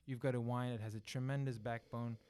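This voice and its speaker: A middle-aged man from the Netherlands, Dutch by birth, speaking English with a smooth, clear voice at a medium pitch. He explains at length in a relaxed interview, with fillers and restarts. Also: a little fast. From southern Netherlands. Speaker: winery managing director, guest. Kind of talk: conversational interview.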